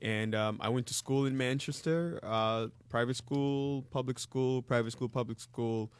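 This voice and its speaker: A man in his thirties from the Democratic Republic of Congo, a guest speaking in a measured way with frequent ums. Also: mid-range voice, steady tone, clear, resonant tone, no room sound. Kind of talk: interview conversation.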